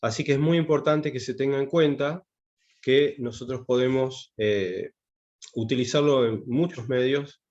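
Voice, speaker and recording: A man's voice: mid-range voice, measured pace, a little mellow, lo-fi sound. Hesitant man in his fifties. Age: 50s. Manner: hesitant, many fillers and restarts. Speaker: taekwondo instructor teaching English. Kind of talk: online class presentation.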